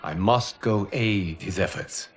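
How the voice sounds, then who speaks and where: An actor playing a calm, noble guardian deity and mentor in a video game, grave and gracious, with formal, measured phrasing. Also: male voice, fairly low pitch. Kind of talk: cinematic game cutscene acting.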